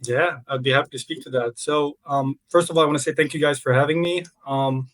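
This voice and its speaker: A man's voice: fairly high pitch, steady tone, brisk, fairly bright tone. A young man from Lebanon, a podcast guest on a video call, speaking casually and hesitantly, with many fillers and restarts. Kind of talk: casual interview.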